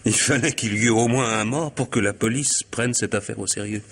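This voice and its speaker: An actor playing an anguished, disillusioned man who feels hunted, halting and brooding, in a French radio mystery drama. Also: medium pitch, a bit bright, a little hiss or hum, lightly acted. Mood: agitated. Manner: halting, repeats words, brooding.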